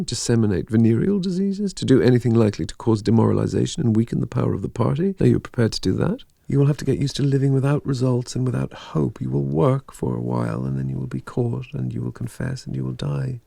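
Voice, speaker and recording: An actor playing a grave, cold male conspirator in an audio drama, speaking in a measured, solemn way. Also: mid-range voice, expressive, vocal fry, mellow, dry recording, clean studio sound.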